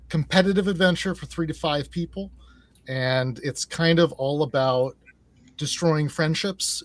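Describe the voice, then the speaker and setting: A man's voice: medium pitch, dry recording. Man chatting casually, dry close sound. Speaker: guest, game designer and composer. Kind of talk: casual interview chat, explaining.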